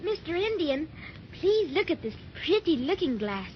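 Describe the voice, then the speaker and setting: Casting: sweet, anxious small girl, very high pitched, well-mannered, old radio drama, muffled transcription. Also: very animated, moderate pace. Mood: pleading, polite. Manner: polite, pleading. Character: sweet, timid, polite little girl. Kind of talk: 1930s children's radio serial acting. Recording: dull, noisy 1930s radio transcription.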